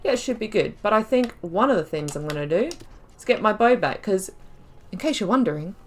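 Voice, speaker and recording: A woman's voice: mid-range voice, expressive, a little fast, a little hiss or hum. A woman hosting a video game playthrough, talking in a chatty, rambling, self-deprecating and jokey way as she comments on her play. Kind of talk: casual gameplay commentary.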